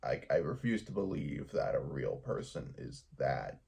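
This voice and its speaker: A man with a gravelly, husky voice talking casually and haltingly in a vlog monologue, as a YouTuber would. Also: a bass voice, sing-song, darkish tone.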